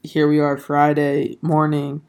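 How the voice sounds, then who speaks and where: A young adult host chats alone on a podcast, the voice still hoarse and not fully recovered. The delivery is flat and even, with little rise and fall, and it wanders from point to point with many ums and restarts. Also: medium pitch, a little slow. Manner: rambling, filler-heavy, self-correcting. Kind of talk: casual solo podcast chat.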